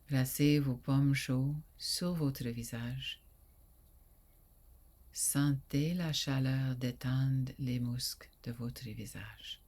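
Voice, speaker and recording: A woman guiding a sleep meditation in a soft, soothing voice that often drops to a breathy near whisper, with long pauses. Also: rumbling low, steady tone. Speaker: yoga nidra guide.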